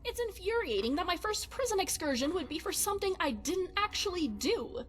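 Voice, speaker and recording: A woman voicing a quirky, chatty, fretful young adventuring companion in a fantasy game, expressive and wry. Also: extremely high pitch, a little fast, heavily acted. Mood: upset, indignant.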